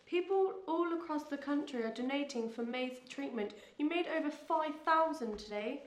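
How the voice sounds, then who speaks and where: A woman plays an eager younger daughter in an audio drama. She is persuasive, with a voice a little higher than most women's. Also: lively intonation, normal speed. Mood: excited.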